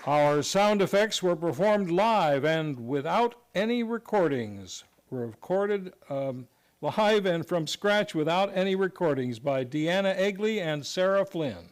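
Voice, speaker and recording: A man's voice: high voice, lively intonation, measured pace, background noise. A man announcing a scripted radio show sign-off in a formal, polished way. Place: live on a theater stage.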